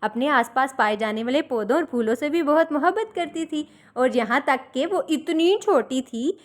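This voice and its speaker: A woman's voice: sits high, expressive, moderate pace. A woman narrating a fairy tale for children, explaining things simply and repeating them as to small children. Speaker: children's storyteller.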